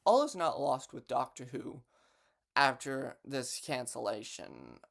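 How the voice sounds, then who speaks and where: Young man, lively pitch, halting casual talk. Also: creaky. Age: young adult. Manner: hesitant, halting delivery with false starts. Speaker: vlogger. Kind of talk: unscripted casual talk to camera. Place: bedroom in a home.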